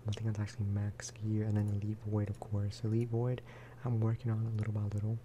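A man speaking softly in a low-key, rambling ASMR style as he chats over gameplay. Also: monotone, fast, background noise. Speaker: gaming video creator. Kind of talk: soft-spoken ASMR gameplay chat.

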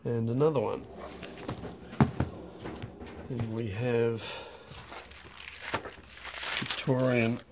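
A man talking through a stamp auction lot in a dry, unhurried way, with wry asides, recorded over a desk. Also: mid-range voice, fairly even intonation, noisy background. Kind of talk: off-the-cuff lot walkthrough. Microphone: overhead camera on a desk.

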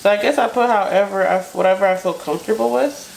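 A woman's voice: medium pitch, quick pace, creaky, a little mellow. An American woman chatting casually and informally as she cooks, thinking aloud in an unscripted way. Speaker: home cook vlogger. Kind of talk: casual chat while cooking. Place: home kitchen, indoors. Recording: echoey kitchen, noisy stove sizzle. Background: sizzling pan, clicks, stirring.

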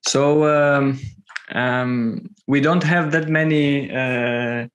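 A man's voice: medium pitch, fairly even intonation, extremely slow, fairly bright tone, muffled recording. A young man hosting a podcast over a video call, speaking hesitantly with many fillers and restarts. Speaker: podcast host. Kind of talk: casual interview chat.

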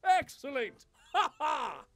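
A man's voice: very high-pitched, very animated, slow pace, fairly bright tone, faint music behind, exaggerated voice. A man voicing a jovial, pompous muscle-bound superhero in an anime parody, grandiose and theatrical. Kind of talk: anime parody dub acting.

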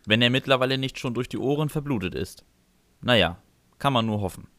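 A man's voice: mid-range voice, expressive, a little fast, sharp tone, dry recording. A man reading scripted first-person comic narration for a fan fiction audio story.

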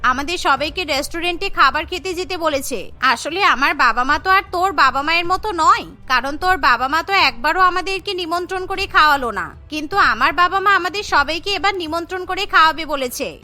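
A woman's voice: very high voice, fast talker, few pauses, sharp tone, faint music behind, full character voice. A woman voicing a haughty, spiteful young rich daughter-in-law who sneers, boasts and scolds in a cartoon family drama. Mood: boastful.

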